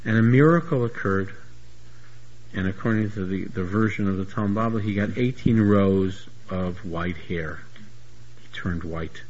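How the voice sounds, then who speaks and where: Husky guy teaching talmud, style words, keeps restarting sentences, kinda muffled recording. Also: fairly low pitch, a little slow, darkish tone. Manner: searching restarts, repeats words while explaining. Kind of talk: Talmud lecture.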